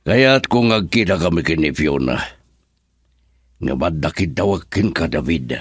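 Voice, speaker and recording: Deep, slow-talking middle-aged father. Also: expressive, husky, no room sound, clean studio sound, full character voice. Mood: shaken, serious. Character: middle-aged philandering businessman father, smooth, later pleading. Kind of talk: Ilocano radio drama acting.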